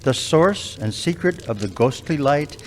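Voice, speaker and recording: A man narrating a live radio play with measured, dramatic pauses. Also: fairly high pitch, animated, a little hiss or hum.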